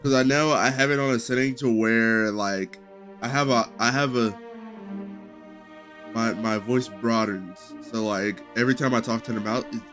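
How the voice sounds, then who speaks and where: A young man with a low voice chatting loosely to his stream audience from a headset in his bedroom, rambling with many fillers and restarts. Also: fairly even intonation, moderate pace, over music. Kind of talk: casual live-stream chat. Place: small bedroom streaming setup indoors.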